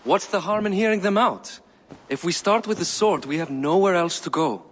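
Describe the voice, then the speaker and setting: A smooth-talking king. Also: high voice, normal speed, in a large hall. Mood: persuasive. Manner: calm, persuasive, diplomatic. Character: persuasive Irish king of Dublin, diplomatic.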